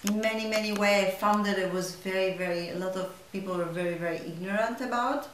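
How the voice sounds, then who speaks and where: A woman's voice: mid-range voice, fast. A woman in her forties from Italy, a native Italian speaker, answering interview questions in English in a reflective, word-searching way. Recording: echoey room, distant mic, hiss.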